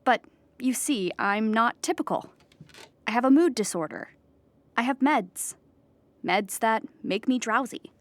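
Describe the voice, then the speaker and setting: An actress playing an anxious, earnest, depressed clown in a theatrical audio drama monologue, explaining with dramatic emphasis. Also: female voice, fairly high pitch, animated, a little slow, pauses often, dry recording. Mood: insistent, explaining.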